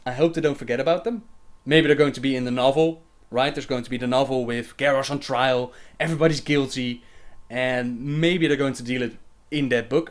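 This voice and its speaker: A young man hosting a casual livestream Q&A, reading viewer questions aloud and answering them chattily with fillers and laughs. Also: mid-range voice.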